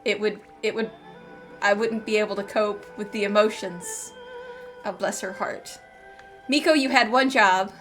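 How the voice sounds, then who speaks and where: A woman's voice: mid-range voice, steady tone, measured pace, a bit bright. A woman streaming a game, giving casual, playful, chatty commentary as she reacts to the story, with game music behind her.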